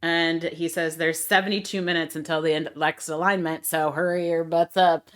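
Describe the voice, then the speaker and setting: A woman's voice: low voice, moderate pace. A woman co-hosting a podcast, casually retelling a film's plot and acting out the characters' lines as she goes. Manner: retells scenes with lively quoted lines. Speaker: podcast co-host. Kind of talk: casual chat recapping a film.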